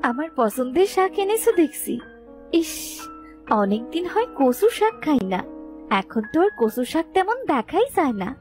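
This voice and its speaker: A woman's voice: high-pitched, big pitch swings, moderate pace, a little mellow, faint music behind. A woman voicing a contented, affectionate village wife in a cartoon, speaking expressively. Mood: pleased, wistful. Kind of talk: Bengali cartoon voice acting.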